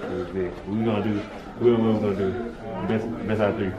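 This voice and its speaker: A man's voice: on the low side, little pitch movement, quick pace, lo-fi sound, over a noisy crowd. A young American man chatting casually and slangily, repeating his phrases, as he hosts a vlog challenge in public. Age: young adult. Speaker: vlogger running public challenges. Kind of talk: casual street banter. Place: clothing store and outside storefronts. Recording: loud music over vlog audio.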